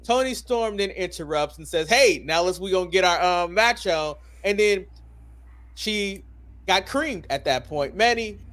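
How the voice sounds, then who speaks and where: A man's voice: high-pitched, animated, measured pace, fairly bright tone. A man hosting a casual sports talk podcast, speaking informally with slang and steering the discussion with questions. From United States. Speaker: wrestling podcast host.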